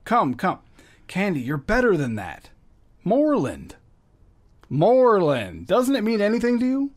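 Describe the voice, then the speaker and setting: A man's voice: high voice, huge pitch swings, measured pace, full character voice. A man playing a dry, patient, teasing police lieutenant in a radio drama recreation.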